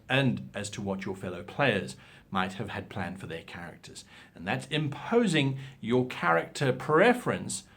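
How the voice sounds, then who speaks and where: A man presenting role-playing advice in an instructional talk, with a theatrical, emphatic manner and frequent rhetorical questions. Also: mid-range voice.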